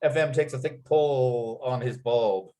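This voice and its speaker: A man with a medium-pitched voice serves as narrator for a script read. He delivers stage directions and scene headings as written, in descriptive phrases. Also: a little slow, mellow. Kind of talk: reading screenplay stage directions aloud.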